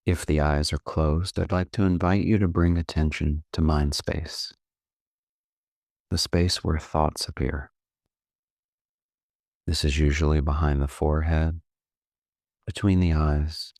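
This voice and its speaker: Very deep-voiced man guiding meditation. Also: dry recording, clean studio sound. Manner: calm, unhurried, gently instructive with long pauses. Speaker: meditation coach. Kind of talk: guided meditation narration.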